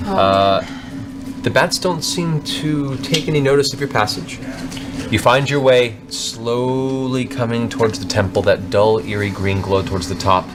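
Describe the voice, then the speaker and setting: A man's voice: medium pitch, a little slow. A man working as game master, narrating a tabletop game with dramatic, descriptive storytelling.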